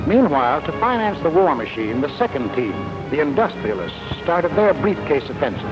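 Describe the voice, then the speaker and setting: An American man narrates a 1940s wartime propaganda film in a fairly high, declamatory voice. His delivery is scripted and emphatic. The sound is a dull vintage film track with music clearly behind him. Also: big pitch swings, normal speed.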